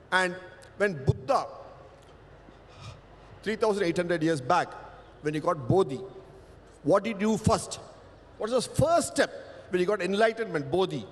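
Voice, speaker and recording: Male panel speaker, native Tamil, high voice, emphatic, hall reverb. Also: a little hiss or hum. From India. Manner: emphatic, rhetorical questions, stretches key words. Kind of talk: panel talk.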